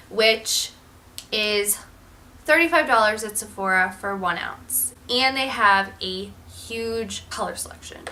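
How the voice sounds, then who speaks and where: A woman's voice: mid-range voice, bright, forceful tone. A young woman chatting casually as a beauty vlogger, candid and full of ums and likes, sounding slightly nasal from a sinus infection. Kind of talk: casual product review chat.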